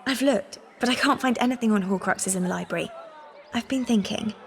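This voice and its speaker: A woman's voice: a little high, a little fast, lightly acted. A woman playing an earnest, studious teenage girl in a video game, careful and articulate, with long reverb on the voice.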